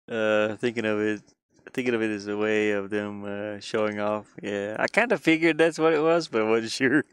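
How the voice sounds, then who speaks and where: A man chatting casually like a streamer, thinking aloud in a halting, rambling way. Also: fairly low pitch, a bit bright, clean tone, dry recording. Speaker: streamer and hobby game designer. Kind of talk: casual rambling livestream chat.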